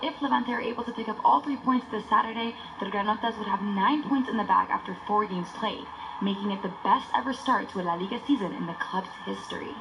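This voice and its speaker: Female sports narrator over clear noise. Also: medium pitch, fast. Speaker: sports news reporter. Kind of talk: scripted match preview narration. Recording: clear background noise behind voice.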